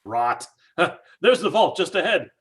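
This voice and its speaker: A man's voice: sits high, big pitch swings, slow pace, fairly bright tone, breathy edge, full character voice. An actor playing a skeptical father in an old-time radio drama, brash and dismissive, with lots of stammered fillers. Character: blustering skeptical father, middle-aged house owner.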